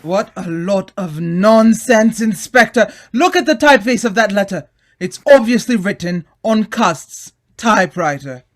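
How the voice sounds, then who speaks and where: Stern, scornful gentleman played by an actor, unhurried. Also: high-pitched, expressive. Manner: scornful, accusing, clipped. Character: stern, indignant gentleman demanding justice for his brother. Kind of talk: old-time radio mystery acting.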